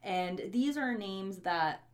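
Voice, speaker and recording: A woman's voice: mid-range voice, lively intonation, slow. A woman originally from Bosnia, whose first language is not English, co-hosting a casual mystery podcast in a chatty, enthusiastic way. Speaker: podcast co-host. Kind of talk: casual chat.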